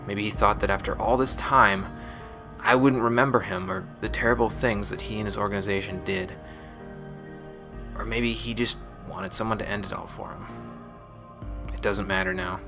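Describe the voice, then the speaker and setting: A man playing a resolute, guilt-burdened space marine, recording a measured, solemn confessional audio log. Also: on the low side, fairly even intonation, close to an everyday voice. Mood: bitter, resolute. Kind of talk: scripted sci-fi audio log monologue. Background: music.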